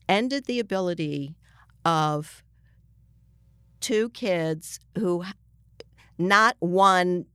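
A woman with an American accent and a fairly low, clear voice talks in a conversational interview. She explains with emphasis, pausing and restarting as she builds her point. The sound is dry and close. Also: no echo. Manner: explanatory, emphatic, halting mid-sentence. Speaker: journalist being interviewed.

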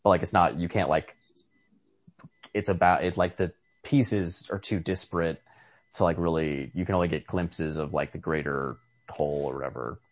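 A man's voice: very deep voice, fairly even intonation, normal speed. A man in casual book discussion, rambling tentatively and restarting his sentences often. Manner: tentative, rambling with many false starts. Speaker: podcast host discussing short stories.

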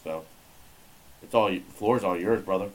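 A man's voice: low voice, measured pace, a little mellow, a little hiss or hum, low-quality recording. A man hosting a podcast interview, talking in a laid-back, rambling way full of fillers. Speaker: podcast host. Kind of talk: casual interview chat.

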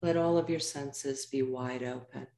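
Slow, low-voiced woman guiding meditation. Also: vocal fry, roomy sound. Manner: calm, unhurried, short measured phrases with pauses. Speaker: yoga nidra meditation teacher. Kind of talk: guided meditation narration.

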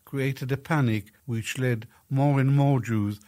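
An older man from Iraq, speaking slowly and deliberately in an interview clip, with frequent pauses. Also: mid-range voice, steady tone, warm tone.

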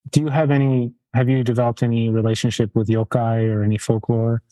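Man, interview host, rambling, restarts, mellow dark tone, middle pitch. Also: little pitch movement, fairly quick, low-quality recording. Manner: rambling, many restarts and trailing phrases. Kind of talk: casual conversational interview.

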